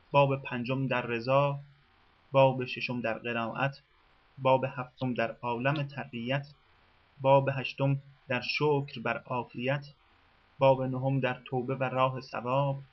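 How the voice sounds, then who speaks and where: A man reading a scripted radio documentary in a measured, formal way. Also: mid-range voice, highs cut off. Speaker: narrator.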